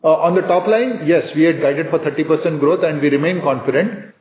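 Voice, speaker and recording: A man speaking as a company executive on an earnings call, in a measured but halting business style. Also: on the high side. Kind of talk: measured business presentation and answers. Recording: echoey, narrow conference phone line.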